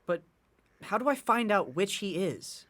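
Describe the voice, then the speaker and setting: A man plays an earnest working-class boy in his teens for a period audio drama. His delivery swings up and down in pitch, with folksy old-time slang and a hesitant, worried sincerity. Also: big pitch swings, measured pace, a bit bright, full character voice. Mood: determined.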